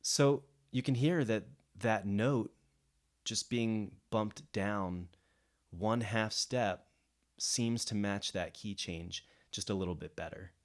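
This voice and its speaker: Low-voiced man explaining a mixing technique. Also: slow. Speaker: music producer giving a mixing tutorial. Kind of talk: explanatory software tutorial, unscripted. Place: indoors, voice-over of a screen recording.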